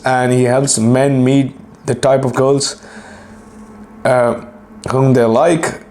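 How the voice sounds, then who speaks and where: Hoarse young man, rambling and profane. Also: medium pitch, darkish tone. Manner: profane, rambling, self-deprecating. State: hoarse, clearing throat. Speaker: podcast host and fitness coach. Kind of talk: casual solo podcast talk.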